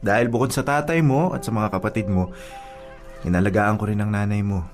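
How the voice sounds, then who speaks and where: A man's voice: lowish voice, fairly quick, lightly acted. A man playing a teasing, devoted provincial suitor and childhood friend in a romantic audio drama. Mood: earnest. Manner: teasing, chuckling, sweet-talking. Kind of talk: radio-style romantic drama acting. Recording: music bed under the voice.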